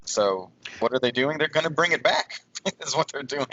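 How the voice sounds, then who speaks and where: Argumentative man with a mid-pitched voice, slightly muffled. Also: expressive, normal speed, pushed and bright, no echo. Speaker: podcast co-host. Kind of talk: casual movie debate among friends.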